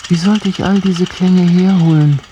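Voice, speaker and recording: A woman narrating a poetic radio drama in a slow, hushed and reflective way. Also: on the low side, fairly even intonation, a little hiss or hum, low-quality recording.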